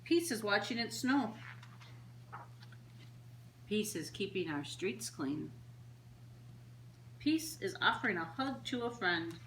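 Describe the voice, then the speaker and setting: A woman with a mid-pitched voice reads aloud as a children's storyteller, in a roomy, echoing space with faint noise behind her. She phrases things simply and deliberately for small listeners, in an animated read-aloud style. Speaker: storyteller reading picture books to children.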